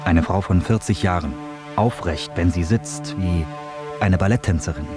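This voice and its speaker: A man with a fairly low voice narrates a radio feature from a script. Music plays clearly behind his documentary delivery. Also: normal speed.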